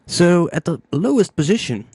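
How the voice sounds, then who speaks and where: A man hosting a casual step-by-step music software tutorial, thinking aloud informally as he demonstrates. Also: high voice, sing-song, normal speed, husky, dry recording, clean studio sound. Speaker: music production tutorial host.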